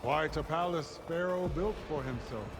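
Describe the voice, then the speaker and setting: An actor playing a formal, deferential attendant to a lord in theatrical video game dialogue. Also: male voice, a little high, moderate pace, close to an everyday voice. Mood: flattering, deferential. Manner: obsequious, formal. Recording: long hall reverb, music behind.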